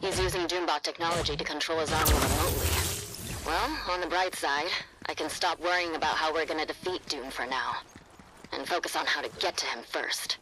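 A man's voice: a little high, fairly even intonation, fairly quick, noisy background, lightly acted. A man playing a wary, reluctant young hero in natural video game dialogue. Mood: thoughtful.

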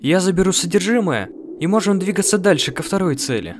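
A bossy scientist, played by a man, curt and insistent in a cartoon dub. His voice sits fairly high and moves in lively swings, with noisy ambience behind it. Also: a little fast. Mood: businesslike. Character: bossy, curt scientist who hired the expedition. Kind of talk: dubbed animated web series dialogue.

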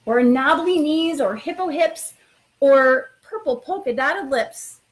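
A middle-aged woman with a somewhat high-pitched voice speaks as an elementary school principal. She addresses children directly and encouragingly, in the informal style of a picture book read-aloud. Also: lively intonation, slow, poor audio.

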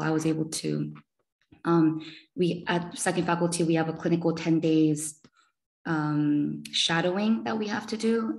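A woman's voice: low voice, darkish tone, rough recording. A young woman in her twenties, a medical student, talking through her experience over a video call in a chatty way with lots of fillers. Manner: chatty, many fillers like "you know" and "um". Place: small room indoors.

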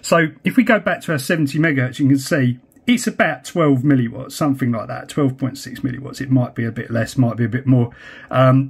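A man's voice: mid-range voice, breathy edge. A man, explaining tech in a casual, chatty vlog style. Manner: informal, chatty, hands-on explaining. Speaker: amateur radio YouTuber.

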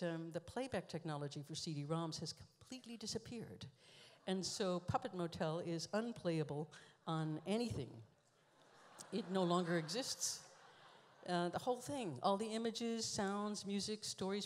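A woman telling dry, musing stories with deliberate pauses, performing a spoken-word monologue into a stand microphone on a large theater stage. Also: lowish voice. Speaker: performance artist reading her book on stage. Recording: live hall reverb.

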